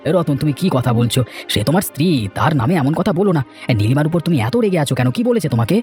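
A man playing a sensible, kindly village man in a cartoon, reasoning and gently admonishing. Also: a little high, expressive, racing, soft background music, close to an everyday voice. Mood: concerned, advising. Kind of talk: children's cartoon voice acting.

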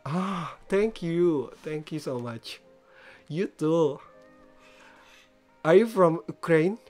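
A man's voice: on the high side, lively intonation, slow, a little mellow. A man in his twenties with an Indonesian accent, chatting casually with strangers on video as a streamer. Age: 20s. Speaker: amateur singer and streamer. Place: small bedroom studio indoors. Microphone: large microphone on a stand close by. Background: guitar and background music.

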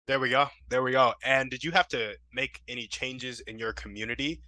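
A man's voice: mid-range voice, steady tone, brisk, pushed and bright. A man hosting a podcast interview over a video call, asking questions and offering short encouraging interjections. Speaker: podcast host. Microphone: headset on a video call.

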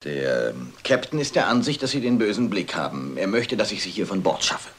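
A middle-aged police inspector in a crime drama, with a low voice and hugely animated intonation. He is skeptical and impatient, forever questioning what he is told. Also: a little fast. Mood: grudging, doubtful. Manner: doubting, questioning. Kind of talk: German dubbed crime film acting.

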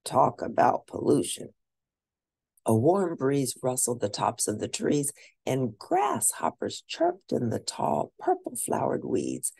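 A woman reading a children's book aloud as an expressive storyteller, stressing and stretching words for drama. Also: a bass voice, creaky, slightly rough. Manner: animated, dramatic emphasis with drawn-out words.

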